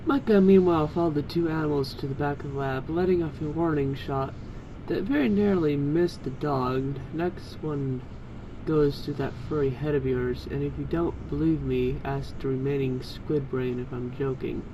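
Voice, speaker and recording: A man's voice: fairly high pitch, steady tone, moderate pace, lo-fi sound, background noise. A man reading a story aloud in an amateur style, with casual asides. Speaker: co-reader of fan fiction.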